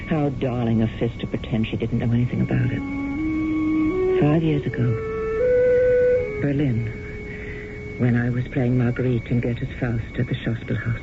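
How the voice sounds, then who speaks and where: An anxious actress of forty. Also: female voice, a bass voice, steady tone, measured pace, creaky, long pauses, full character voice. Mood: reflective. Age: 40s. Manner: anxious, pleading. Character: frightened celebrated actress of forty. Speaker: stage actress. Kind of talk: 1970s radio drama acting. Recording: muffled old radio broadcast with music.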